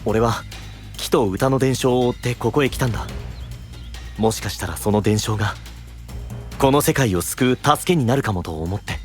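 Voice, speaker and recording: Gentle calm young guy knight man acting, mid voice, earnest anime drama, faint music. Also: mid-range voice, normal speed, pauses often, natural voice. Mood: inquiring.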